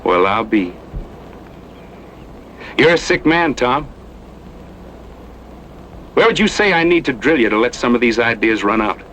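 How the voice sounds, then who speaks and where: An actor playing a cocky, easygoing gunslinger with a folksy American Western drawl in a radio drama. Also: male voice, medium pitch, very animated, a little slow, long pauses, fairly bright tone. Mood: taunting, incredulous. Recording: noisy old radio drama recording.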